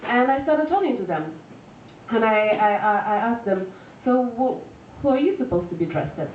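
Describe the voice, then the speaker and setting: A woman, performing a deadpan stand-up set full of fillers and restarts, recorded live at a venue. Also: fairly high pitch, normal speed, a little hiss or hum. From Russia. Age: 20s. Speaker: stand-up comedian. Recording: echoey lo-fi room recording from far off.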